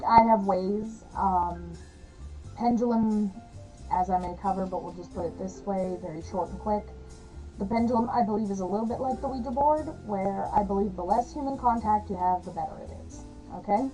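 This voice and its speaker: Slightly hoarse chatty woman vlogger. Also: mid-range voice, low-quality recording. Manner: chatty, rambling, frequent fillers and soft laughs. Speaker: witchcraft vlogger. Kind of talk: casual talk to camera.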